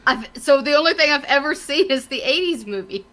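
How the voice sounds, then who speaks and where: A woman in her thirties bantering casually as a podcast co-host, blunt, slangy and quick to swear. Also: high voice, lively intonation, a bit bright.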